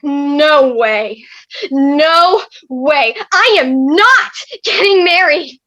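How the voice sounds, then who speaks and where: A woman's voice: high-pitched, lively intonation, drawn-out words, bright, forceful tone, no echo, heavily acted. A woman playing a headstrong, scornful teenage girl refusing to give in, petulant and mocking, in a comic stage play reading. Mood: defiant. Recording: slightly dull, dry close recording.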